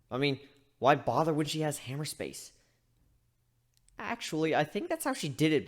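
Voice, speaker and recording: A man playing a lively, rambling male griffin. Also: fairly high pitch. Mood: wry, rambling. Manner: conversational, rambling, self-interrupting. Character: chatty male griffin, wry, rambling first-person narrator. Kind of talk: dramatic reading of fan fiction, storytelling.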